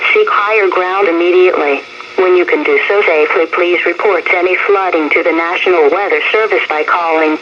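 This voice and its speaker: A synthetic female voice with an American accent reads scripted emergency weather warnings in a formal, measured way as a radio announcer. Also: lowish voice. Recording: dull, noisy weather radio broadcast. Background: radio noise.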